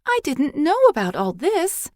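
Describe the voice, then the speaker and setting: A woman voicing an eager, curious young girl who asks questions excitedly in an audiobook story. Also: high-pitched, unhurried, dry recording, pitched above the performer's own voice, full character voice.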